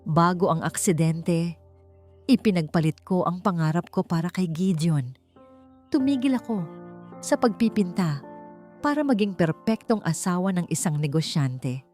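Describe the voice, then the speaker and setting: A woman narrator voicing a hesitant, wounded woman artist in a romance story, speaking softly and haltingly with wistfulness. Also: lowish voice, lively intonation, faint music behind. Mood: sad, fearful.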